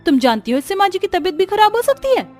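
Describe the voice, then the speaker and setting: A spiteful young sister-in-law from a cartoon, sneering and whiny, played by a woman. Her voice rides very high and loud in mocking bursts, with light music underneath. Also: sing-song, fast talker. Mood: sly. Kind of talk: animated story dubbing.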